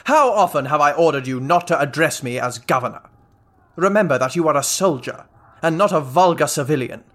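An actor playing a pompous, blustering English army general in a comic radio play, imperious and easily outraged. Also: male voice, a little high, animated, a little fast, fairly bright tone. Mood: imperious, barking.

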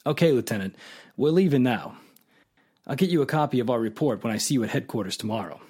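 An actor playing an obliging police crime lab technician in a 1940s-style radio drama. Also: male voice, medium pitch, lively intonation, fast talker, warm tone, husky. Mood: brisk, deferential.

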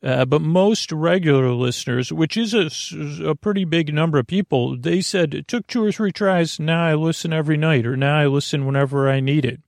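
A man with a creaky voice hosts a bedtime podcast, rambling in a halting, meandering, self-deprecating way full of tangents. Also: on the high side, no room sound, studio quality. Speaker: podcast host.

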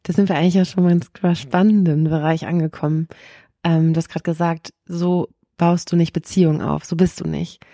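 Eine Frau als Podcast-Gastgeberin, die ruhig offene Fragen stellt und nachhakt. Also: female voice, on the low side, fairly quick, no room sound, clean studio sound. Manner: calm, asks open prompting questions. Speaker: podcast host. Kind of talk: interview questions.

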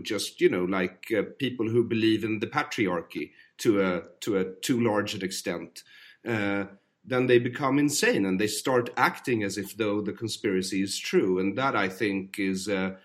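A man hosting a podcast, talking thoughtfully with frequent hesitations. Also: lowish voice. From Sweden. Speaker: podcast host. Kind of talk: podcast conversation.

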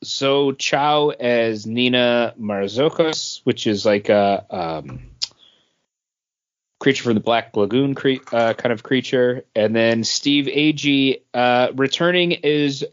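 A man's voice: mid-range voice, takes it slowly. A man co-hosting a podcast, chatting casually about entertainment news. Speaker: podcast co-host. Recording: dull, lo-fi podcast audio.

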